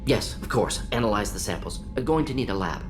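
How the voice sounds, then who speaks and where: An actor voicing an eccentric, pragmatic alien scientist for a video game, musing aloud in clipped staccato fragments with small words dropped. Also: male voice, on the low side, expressive, a little fast, music in the background, lightly acted. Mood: excited, analytical. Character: fast-thinking eccentric alien scientist professor, brisk and pragmatic.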